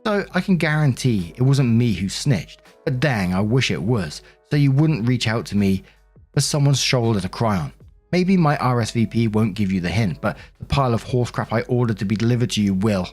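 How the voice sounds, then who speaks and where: A man's voice: medium pitch, lively intonation, moderate pace, darkish tone, soft background music, close to an everyday voice. A man reading out the comment of a scornful older wedding guest, sarcastic and dismissive.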